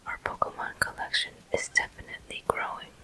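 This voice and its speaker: A person whispering ASMR gameplay commentary as the host of a walkthrough, in a breathy, unvoiced, gentle and deliberate way. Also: low-quality recording. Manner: slow, gentle, deliberate delivery.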